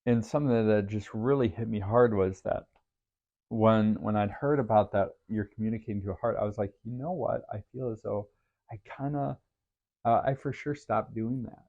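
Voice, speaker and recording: A man hosting a podcast, talking through his thoughts in an earnest, faith-based, reflective way with a deep voice. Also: fairly even intonation, moderate pace, a little mellow, highs cut off. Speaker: podcast host. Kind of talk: reflective solo podcast monologue.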